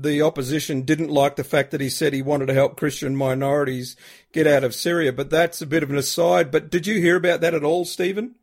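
A man's voice: on the high side, a little mellow. Co-host, a man with a lighter voice, chatty and aside-prone. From Australia. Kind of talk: casual radio interview talk.

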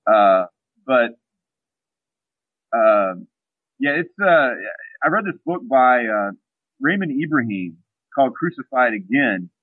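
A man speaking as a guest on an interview show, halting and full of fillers and restarts, heard over a phone line. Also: medium pitch, very animated, no echo. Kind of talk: casual radio interview talk. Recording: dry, tinny phone-line sound.

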